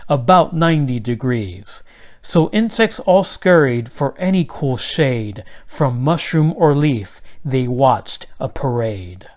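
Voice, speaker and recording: A man narrating a children's rhyming storybook aloud in a measured delivery. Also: mid-range voice.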